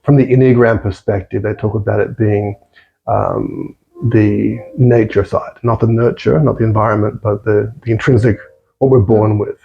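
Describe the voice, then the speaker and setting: A man's voice: on the low side, steady tone, moderate pace, vocal fry, highs cut off, soft background music. A man with an Australian accent, a podcast guest talking thoughtfully and repeating words as he searches for phrasing. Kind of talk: reflective casual conversation.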